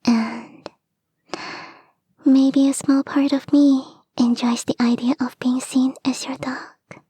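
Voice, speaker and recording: A woman voicing a playful, devoted kitsune fox girl in a soft, intimate, whispery ASMR roleplay, teasing and breathy, close to the mic. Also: high-pitched, flat delivery, takes it slowly, no room sound, full character voice. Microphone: close microphone, dry.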